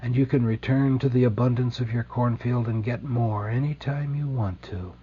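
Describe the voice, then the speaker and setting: A man leading a guided meditation in a slow, soothing, gentle way, leaving deliberate pauses between phrases. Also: mid-range voice, dull audio, slight background noise.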